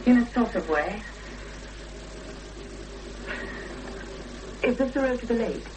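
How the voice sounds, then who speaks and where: A woman's voice: a little high, expressive, quick pace, natural voice. An actress playing an earnest, uneasy young English woman in a 1970s British radio drama, speaking with hesitant intensity. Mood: quietly insistent. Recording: noisy, humming 1970s radio drama recording.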